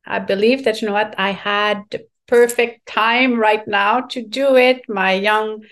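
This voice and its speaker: A middle-aged woman from Quebec with a French accent, speaking reflectively as a podcast guest and often filling pauses with "like, you know". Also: mid-range voice, steady tone, a bit bright. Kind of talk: podcast interview conversation.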